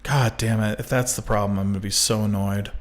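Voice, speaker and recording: A man's voice: lowish voice, fairly even intonation, a little fast. Casual male streamer grumbling to chat. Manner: casual, swears when annoyed, talks to chat. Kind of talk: casual live-stream chat while working. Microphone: desk microphone.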